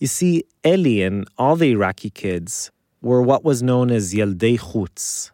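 A man narrating a scripted documentary as host, phrasing deliberately with dramatic pauses, close on the microphone. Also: mid-range voice, expressive, mellow, no echo.

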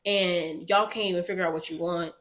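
A woman co-hosting a casual podcast, telling stories in animated, quick bursts with frequent laughter. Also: on the low side. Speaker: podcast co-host. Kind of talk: casual chat.

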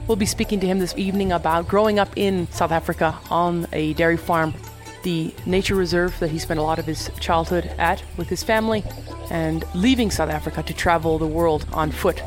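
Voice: medium pitch, fairly even intonation, fairly quick, faint music behind. A host introducing a guest and asking questions in an interview.

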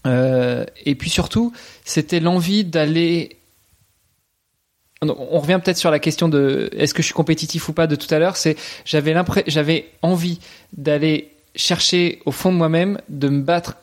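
A man in his thirties from France, talking casually in an interview with many pauses and restarts. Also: on the high side. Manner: hesitant, many fillers and restarts. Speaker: podcast guest, athlete.

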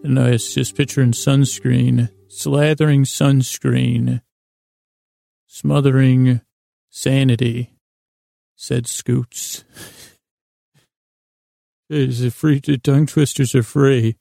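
Middle-aged American male bedtime host: dark, relaxed, heavy vocal fry, lulling delivery, meandering and self-deprecating. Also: medium pitch, dry recording, studio quality. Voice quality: lulling, soothing tone. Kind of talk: rambling soothing sleep-podcast monologue.

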